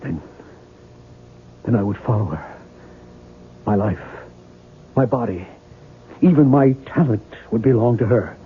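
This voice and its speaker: An actor playing a tormented, obsessive novelist in a 1970s radio drama, with earnest, dramatic phrasing. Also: male voice, on the low side, lively intonation, a little slow, pauses often, a little hiss or hum. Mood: anguished, obsessed. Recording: muffled narrow-band old radio broadcast.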